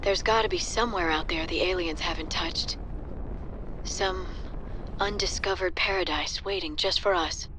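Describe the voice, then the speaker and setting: A woman's voice: fairly low pitch, moderate pace, background noise, lightly acted. A woman playing a caring frontier doctor. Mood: hopeful. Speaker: game character.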